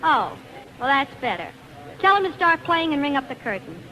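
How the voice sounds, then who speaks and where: A feisty, indignant showgirl in a 1940s radio drama. Her voice is extremely high and bright, with snappy, defiant delivery. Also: very animated, moderate pace, heavily acted, poor audio. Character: feisty, headstrong female showgirl star, indignant and sharp-tongued. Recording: 1940s radio broadcast recording.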